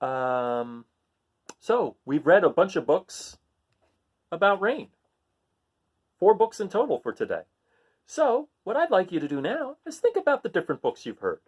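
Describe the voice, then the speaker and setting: A man's voice: high voice, a little slow, long pauses, husky. A man reading picture books aloud to children, playing up the sound words and chatting simply to his young listeners in between. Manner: expressive, plays up sound words, simple child-directed phrasing. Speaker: read-aloud host for children. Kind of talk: animated storybook read-aloud with casual asides to young listeners.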